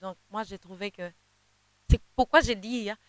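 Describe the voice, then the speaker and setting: A young woman, a gospel singer whose first language is Kinande, answering interview questions in a halting way, often repeating words as she searches for them. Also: mid-range voice, no echo. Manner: sings softly in places.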